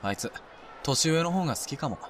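A man playing a laid-back, teasing high school boy who talks in a terse, offhand way in a youth audio drama. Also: expressive, a little fast, a bit bright, some chatter behind. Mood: dry, teasing. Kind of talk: Japanese youth romantic comedy voice drama. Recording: faint ambient noise behind.

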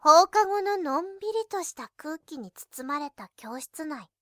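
A woman playing an earnest, reserved high school girl who narrates her own story in a youth voice drama. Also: animated, a little slow, fairly bright tone, no echo, studio quality. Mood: calm, descriptive. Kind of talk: scripted narration in an anime-style voice drama.

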